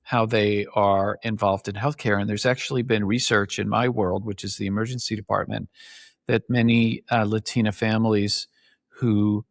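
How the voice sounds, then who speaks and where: A man's voice: fairly low pitch, flat delivery, moderate pace, vocal fry, dry recording, studio quality. A man hosting an interview, an emergency physician who asks his questions in a thoughtful, reflective way. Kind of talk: interview conversation.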